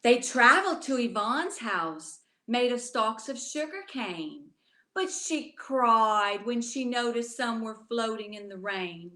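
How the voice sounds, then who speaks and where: A woman from Louisiana reading a bedtime story aloud to children in an expressive way, speaking directly to her young listeners. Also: fairly high pitch, slow pace, reverberant.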